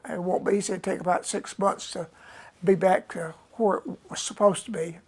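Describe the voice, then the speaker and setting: A man, chatting casually and unhurriedly to his live audience as a musician host, with many pauses. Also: sits high, fairly even intonation, vocal fry, breathy edge. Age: 70s. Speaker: musician hosting a live stream. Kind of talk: rambling casual chat.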